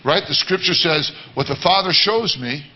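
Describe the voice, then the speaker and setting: Earnest baritone man teaching a congregation. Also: moderate pace, highs cut off. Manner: earnest, repetitive, many restarts. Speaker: worship leader. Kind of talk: sermon-style teaching talk. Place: church service.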